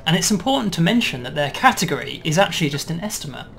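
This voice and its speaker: A man demonstrating and explaining a game build in a relaxed way, checking in with "right?" as he goes. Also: on the high side, quick pace, creaky, a little hiss or hum. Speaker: game developer demoing.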